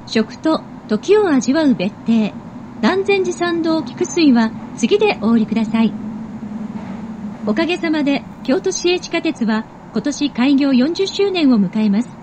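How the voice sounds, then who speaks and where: A woman's voice: high-pitched, animated, measured pace. A woman giving formal, polite scripted train announcements with careful diction. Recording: dull recording with train noise. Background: subway train ambience.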